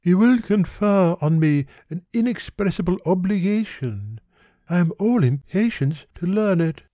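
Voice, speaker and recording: A man voicing an indolent, foppish young gentleman in a classic novel reading, speaking in a languid, affected and courteous way. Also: high-pitched, expressive, unhurried, no room sound, clean studio sound. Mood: languid, gallant.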